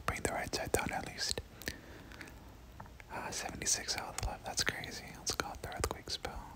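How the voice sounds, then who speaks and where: A person whispering casual, slangy ASMR gameplay commentary, muttering reactions and repeating short phrases. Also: poor audio. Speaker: gaming video creator.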